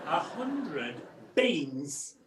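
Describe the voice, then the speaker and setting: A roomy home video-call recording of a man as a brash pantomime dame. The voice is high and unhurried, full of cheeky, theatrical patter for a woman selling potions. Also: sing-song, a bit bright, a little hiss or hum, full character voice. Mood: cheeky, bargaining. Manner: theatrical, bantering sales patter. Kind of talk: amateur pantomime acting with comic songs. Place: at home, indoors.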